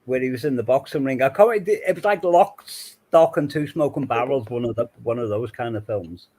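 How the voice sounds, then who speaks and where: A man's voice: medium pitch, normal speed, dark tone. A man from Liverpool whose accent has faded after years in southwest England, chatting casually and rambling with frequent restarts and laughs. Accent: faded Liverpool accent softened by years in southwest England. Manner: rambling, self-interrupting, laughs often. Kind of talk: casual chat.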